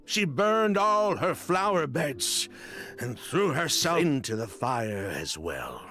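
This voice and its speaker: A man's voice: fairly high pitch, sing-song, takes it slowly, pushed and bright, faint music behind, heavily acted. An actor voicing a weary male ex-convict in a game, explaining his past in a heavy, resigned way with frequent sighs. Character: weary male ex-convict, bitter, confessing old schemes. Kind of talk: game voice acting.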